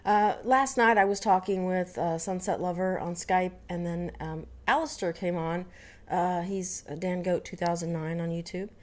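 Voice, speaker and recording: A young woman from Dallas, Texas chats to camera in a relaxed vlog, with no regional twang in her speech. Her voice sits a bit low, and she rambles along with frequent ums, uhs and self-corrections. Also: moderate pace. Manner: chatty, many fillers and restarts. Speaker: vlogger. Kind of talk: casual storytelling to camera.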